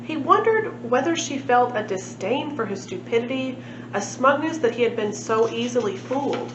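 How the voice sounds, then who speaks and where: A woman's voice: on the high side, lively intonation, normal speed. A woman reading a children's storybook aloud as a library storytime narrator. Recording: echoey room, muffled, clear background noise.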